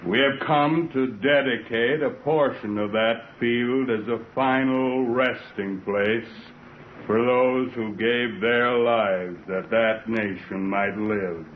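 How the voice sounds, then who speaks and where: An actor playing a solemn president delivering a measured, declamatory speech in an old-time radio drama. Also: male voice, mid-range voice, natural voice. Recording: tinny, noisy vintage radio recording.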